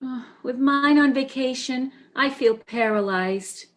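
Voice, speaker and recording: A woman's voice: sits high, slow pace. A woman playing an anxious, sympathetic, easily overwrought neurotic wife in a stage comedy reading, fretful and emotional. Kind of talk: stage comedy readers theater.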